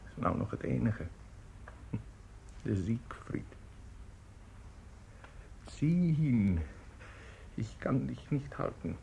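A disillusioned middle-aged opera teacher in a radio drama, theatrical and maudlin. His pitch swings widely as he stumbles and repeats his words. A faint noise sits behind the voice. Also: expressive, very slow, vocal fry, pauses often, dark tone, full character voice. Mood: broken, despondent. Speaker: actor.

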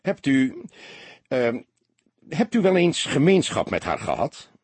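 A man voicing a young male detective in an audiobook, an awkward but insistent questioner who speaks haltingly. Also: mid-range voice, wildly swooping pitch, a little mellow, breathy edge, dry recording, voice pushed up, exaggerated voice. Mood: embarrassed, sharp. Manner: halting, pressing, slightly apologetic.

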